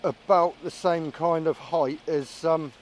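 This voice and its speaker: Guy vlogging outside, slightly high voice, casual drone commentary, musing to himself, bit of background noise. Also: steady tone, slow. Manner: musing, thinking aloud. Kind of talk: casual running commentary while flying a drone. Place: outdoors.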